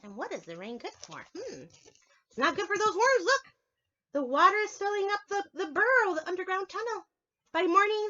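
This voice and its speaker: Very high-voiced woman reading to preschoolers. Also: highs cut off. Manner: expressive, drawn-out storytelling, addressing children directly. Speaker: preschool teacher. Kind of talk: picture-book read-aloud for young children, with asides and questions.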